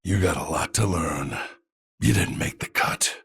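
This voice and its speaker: An actor playing a grizzled, grumpy old American vigilante soldier in a video game, gruff, terse and raspy. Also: male voice, rumbling low, a little slow, a bit bright, exaggerated voice. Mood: dismissive. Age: older. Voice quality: gravelly, raspy. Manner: gruff, terse, world-weary. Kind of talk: video game hero voice lines.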